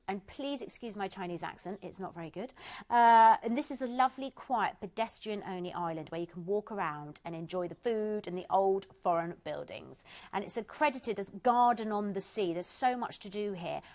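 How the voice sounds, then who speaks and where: A woman's voice: medium pitch, expressive, normal speed. Chatty woman presenting cruises. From England. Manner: chatty, enthusiastic, describing destinations with fillers. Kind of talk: TV shopping channel presenting. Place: TV studio.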